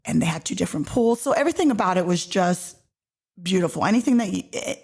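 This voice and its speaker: Deep-voiced, gravelly woman podcaster. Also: fast talker. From Georgia, USA. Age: 40s. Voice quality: deep, loud voice. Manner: chatty and animated, drops into whispers for effect. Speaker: podcast host. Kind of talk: casual personal storytelling.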